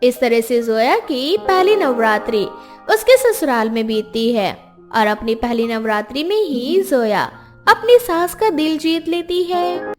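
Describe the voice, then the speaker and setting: A woman narrating a scripted moral story in a steady storytelling manner. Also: high-pitched. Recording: music bed behind voice.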